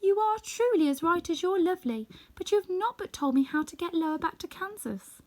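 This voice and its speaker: A sweet little girl from a storybook, voiced by a woman reader. She is eager and polite, pitched very high. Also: animated, a little fast. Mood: earnest. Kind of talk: children's storybook read-aloud.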